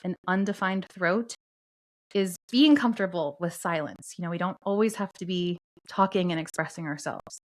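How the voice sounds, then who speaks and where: A woman co-hosting a podcast, calmly explaining astrology and human design concepts in a steady teaching tone. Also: on the low side, moderate pace. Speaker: podcast co-host.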